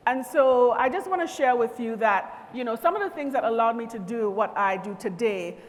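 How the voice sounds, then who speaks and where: A woman from Trinidad and Tobago giving an encouraging keynote speech to students at a conference, speaking in a measured way. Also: on the high side. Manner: measured, encouraging, lists things slowly. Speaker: conference speaker from a power company. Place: large convention hall. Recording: long reverb of a large hall.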